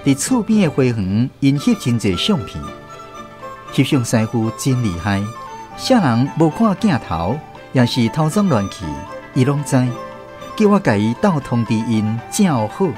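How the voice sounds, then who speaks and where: A man's voice: medium pitch, sing-song, unhurried, music in the background. A man playing a storyteller who recalls his naive country boyhood, narrating a radio drama with a Taiwanese accent. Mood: wry.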